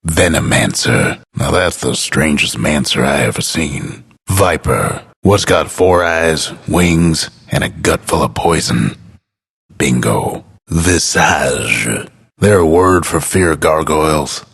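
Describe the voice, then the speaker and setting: Super deep husky cowboy-ish game announcer, drawl, wry and laconic. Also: male voice, slow, fairly bright tone, full character voice. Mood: wry, deadpan. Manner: laconic, drawling, dryly amused. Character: wry, folksy, world-weary male frontier narrator as announcer. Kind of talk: scripted video game announcer narration.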